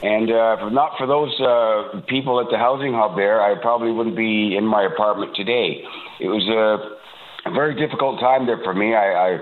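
Man in his sixties on a phone line. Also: fairly low pitch. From Toronto, Canada. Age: 60s. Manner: halting, many fillers and restarts. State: slightly congested from a cold. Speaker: caller. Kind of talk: call-in radio talk.

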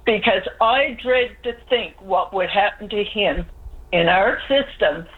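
A woman's voice: mid-range voice, a little slow, slightly rough. An older woman, calling in to a radio show and pouring out her frustration in long, pleading runs. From Newfoundland, Canada. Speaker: caller. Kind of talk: call-in radio complaint. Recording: tinny phone line.

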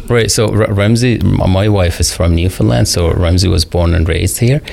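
Gravelly deep-voiced father, halting speech. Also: darkish tone. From Saudi Arabia. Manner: halting, many restarts and fillers. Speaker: father of a young champion, guest. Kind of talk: radio interview.

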